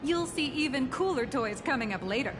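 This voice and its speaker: A woman's voice: sits high, lively intonation, a little fast. A woman voicing a polished, persuasive corporate guide in a video game, courteous and salesy, with music behind. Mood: promotional. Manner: smooth-talking, courteous salesmanship. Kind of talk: scripted game voice acting.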